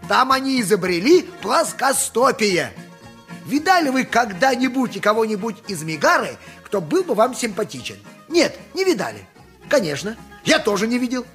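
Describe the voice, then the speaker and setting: A grumbling, pious donkey driver from a theatrical radio play. His voice is very high for a man, bright and swinging widely in pitch. He blusters and haggles like an aggrieved peasant. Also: very animated, normal speed, faint music behind, exaggerated voice.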